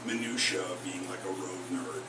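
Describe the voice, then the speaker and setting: Man, touring songwriter, halting stage banter; roomy distant live recording with heavy noise. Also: sits low. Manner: rambling, halting, many fillers. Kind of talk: casual onstage chat between songs. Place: concert house, live in front of an audience.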